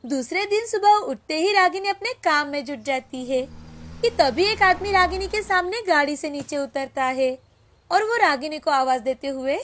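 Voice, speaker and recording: A woman's voice: high-pitched, animated, normal speed, a bit bright. A woman narrating a scripted moral story as storyteller.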